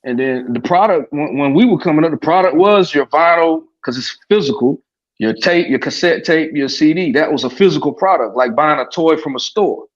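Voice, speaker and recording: A man's voice: on the high side, expressive, moderate pace. A man on a podcast talking casually and loosely, swearing and dropping lots of "you know what I mean". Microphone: remote stream call. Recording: dull lo-fi sound.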